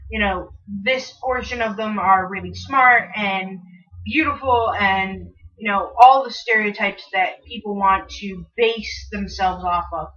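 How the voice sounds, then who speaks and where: A woman with a squeaky, bright, sharp voice co-hosts a casual talk show. She talks haltingly, with restarts and filler phrases. Her voice comes from a distance through a dull, lo-fi recording. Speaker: co-host. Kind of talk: casual chat. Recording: distant mic, dull lo-fi sound.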